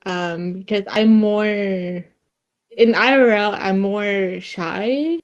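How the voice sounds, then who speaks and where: A woman with a slight Vietnamese accent, chatting casually on a podcast. She stops and restarts her sentences often and laughs between her thoughts. Her pitch sits in the middle of the usual range. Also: dull audio. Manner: halting, many restarts and fillers, giggly. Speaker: streamer and podcast guest. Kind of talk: casual chat.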